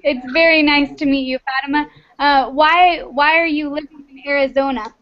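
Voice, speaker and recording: High-voiced patient woman teacher. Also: steady tone, moderate pace. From Texas, United States. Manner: friendly, patient, encouraging. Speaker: English teacher. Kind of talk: online class small talk. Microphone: video call. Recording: muffled video-call audio.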